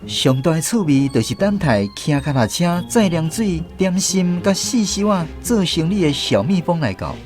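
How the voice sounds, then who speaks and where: A Taiwanese man narrates a radio drama. His storytelling is lively and widely inflected, with soft music playing underneath. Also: a little high, normal speed, hardly any pauses.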